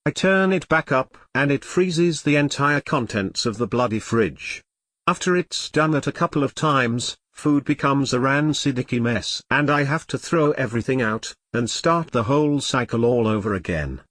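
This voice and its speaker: A man's voice: mid-range voice, lively intonation, moderate pace, a bit bright, dry recording, lightly acted. A synthetic male text-to-speech voice playing a melodramatic, easily flustered computer turned elephant in an audio comedy drama. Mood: flustered, indignant. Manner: theatrical complaining in synthetic text-to-speech delivery.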